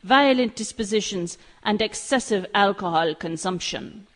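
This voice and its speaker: A woman's voice: mid-range voice, a little slow, fairly bright tone. An actress playing a female German spy posing as a demure secretary in a comic audio drama, with a German accent and clipped speech. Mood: brisk, cold.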